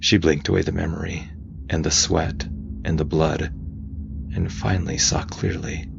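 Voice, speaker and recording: A man reading scripted audiobook fiction in a dramatic, intense way with deliberate emphasis. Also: low-pitched, steady tone, creaky, highs cut off. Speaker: narrator. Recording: faint noise behind voice.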